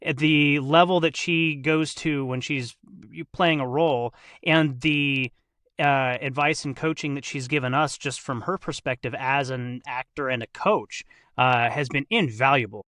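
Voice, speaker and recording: Bright-voiced man hosting a podcast. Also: medium pitch, no echo, studio quality. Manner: effusive, praising, halting as he searches for words. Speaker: podcast host. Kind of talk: casual interview.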